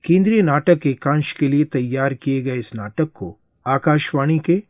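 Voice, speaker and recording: A man's voice: a little high, unhurried, no room sound. A man working as a radio announcer, delivering a formal scripted broadcast announcement.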